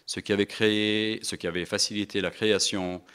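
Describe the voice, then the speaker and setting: A middle-aged man giving a speech to an audience in an event hall, halting with many fillers and restarts. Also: lowish voice, flat delivery, a bit bright, clean tone. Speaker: investment firm partner giving a speech. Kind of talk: conference speech.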